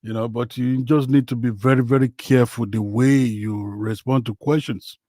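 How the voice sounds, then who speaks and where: A Nigerian man whose first language is Yoruba, hosting a casual football livestream from home, talking slowly with many fillers. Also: mid-range voice, fairly even intonation, dark tone, no room sound, studio quality. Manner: slow, hesitant, many fillers. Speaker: livestream host. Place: home, in Western Australia.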